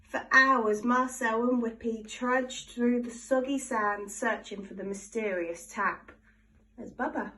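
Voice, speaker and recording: Expressive woman reading a children's story. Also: fairly high pitch, slow pace, distant mic, slight background noise, rough recording. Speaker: storybook reader. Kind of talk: children's story read-aloud.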